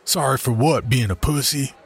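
A man puts on a hulking drunk redneck in grubby overalls, slurring and spoiling for a fight with a lazy drawl. The voice is pitched well above his own and pushed louder, swaggering and crude, as in a gritty audiobook. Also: moderate pace, vocal fry, lightly acted. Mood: taunting. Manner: slurred, taunting Southern drawl.

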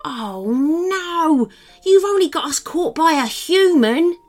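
Lady doing a grumpy old gnome guy, high n swoopy, snappy and sarcastic, storybook style. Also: very animated, unhurried, a bit bright, soft background music, heavily acted. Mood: groaning.

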